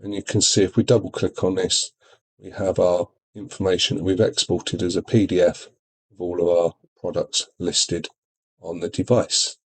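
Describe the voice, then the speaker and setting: Male product demonstrator, lowish voice, informal step-by-step tutorial delivery. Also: level tone, moderate pace, slight background noise, low-quality recording. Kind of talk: software tutorial walkthrough.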